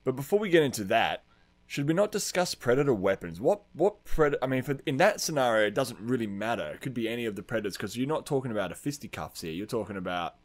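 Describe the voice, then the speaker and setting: A man's voice: mid-range voice, animated, quick pace. Casual, blunt man podcasting. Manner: sweary, blunt, colloquial. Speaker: podcast co-host. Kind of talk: casual chat.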